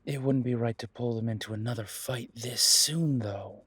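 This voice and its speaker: Casting: male actor as protective werewolf detective boyfriend, soft reassuring delivery, mid-pitched voice, very dry intimate sound. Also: moderate pace, breathy edge, dry recording. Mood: worried, reassuring. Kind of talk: intimate roleplay audio drama.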